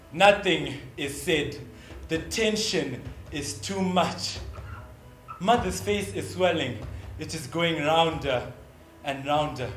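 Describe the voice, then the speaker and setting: A man's voice: on the high side, a bit bright. A man performing an intense, emotive theatrical monologue as a child narrator, in short emphatic phrases. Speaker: stage actor narrating as a child. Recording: roomy stage recording with faint noise.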